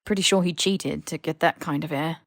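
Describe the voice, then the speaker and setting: A woman voicing a blunt, excitable, grumbling young British man in an audiobook story. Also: sits low, a little fast, creaky, dry recording, clean studio sound. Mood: incredulous. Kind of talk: audiobook character dialogue.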